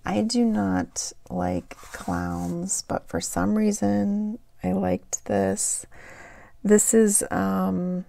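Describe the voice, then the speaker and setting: A woman chatting casually as she shows off thrift store finds, her voice getting a little raspy. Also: mid-range voice, lively intonation, dark tone. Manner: slow, unhurried, chatty with fillers. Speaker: thrift haul vlogger. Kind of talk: casual haul show-and-tell.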